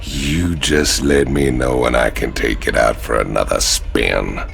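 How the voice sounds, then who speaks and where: An actor playing a big, swaggering outlaw marine in a video game, gravelly. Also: male voice, extremely low pitch, steady tone, measured pace, fairly bright tone, faint music behind, exaggerated voice. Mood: amused, boastful. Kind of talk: dramatic video game acting.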